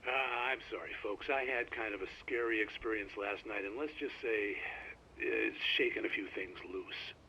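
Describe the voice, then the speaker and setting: Folksy radio DJ, bright muffled broadcast. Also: male voice, fairly low pitch. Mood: wistful, halting. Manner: folksy, halting, rambling. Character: folksy, rambling older male small-town radio DJ. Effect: radio filter. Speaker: local radio host. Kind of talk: conversational radio show acting. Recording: dull, noisy radio broadcast.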